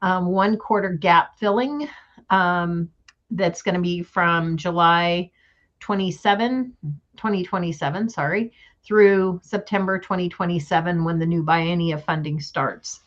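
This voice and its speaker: A woman giving a transit funding update at a board meeting, losing her voice, halting with frequent ums. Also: fairly low pitch, dull audio.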